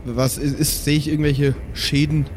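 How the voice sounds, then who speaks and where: A man talking casually and haltingly as a player in a tabletop game, thinking aloud. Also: on the high side, little pitch movement. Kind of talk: casual improvised table talk. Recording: clear background noise.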